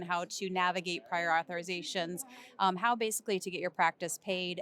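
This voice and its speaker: Woman, lowish voice, practical doctor, steady delivery. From Iowa, USA. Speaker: rheumatologist. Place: convention center floor.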